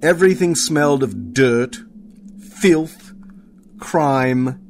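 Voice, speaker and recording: A man's voice: medium pitch, very slow. A man narrating a story dramatically from a script. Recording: clear background noise.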